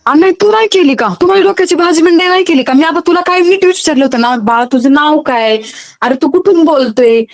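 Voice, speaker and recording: Woman, Maharashtrian accent, high voice, nagging and interrogating in a comic phone argument. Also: brisk. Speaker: social media video creator taking a fan's call.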